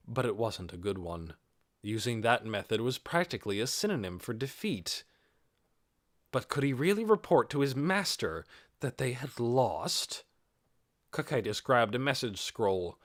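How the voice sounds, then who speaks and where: Male narrator for fantasy audiobook: dramatic, lively intonation, deliberate phrasing, mid pitch, close dry sound. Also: no room sound. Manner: measured, deliberate phrasing, expressive.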